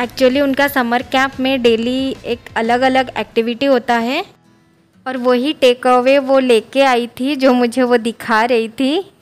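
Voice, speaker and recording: A woman's voice: sits high, level tone, slow pace, faint music behind. A woman in her thirties chatting casually in a family vlog, mixing in English words, filmed on a phone held at arm's length. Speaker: family vlogger. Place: home and on the road, train station.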